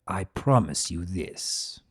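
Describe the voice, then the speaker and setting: An urbane spirit of chaos from a fantasy story, acted by a man, swinging widely in pitch. He is sullen and volatile, drawing words out slowly with theatrical emphasis. The sound is dry and close. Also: animated, slightly rough, dry recording, heavily acted. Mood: solemn, gentle. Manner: theatrical, drawn-out, emphatic delivery. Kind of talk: dramatic fan fiction reading.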